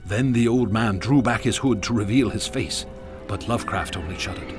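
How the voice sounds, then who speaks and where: A man narrating a horror radio drama in an ornate, measured, theatrical manner. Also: lowish voice. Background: music.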